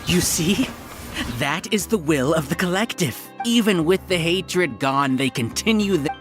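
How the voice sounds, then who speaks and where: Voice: medium pitch, animated, a little slow, natural voice. An actor voicing an imperious, grandiose hive ruler in a video game, pompous, commanding and dramatic. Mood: smug. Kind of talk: English video game voice acting. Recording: loud game music behind the voice.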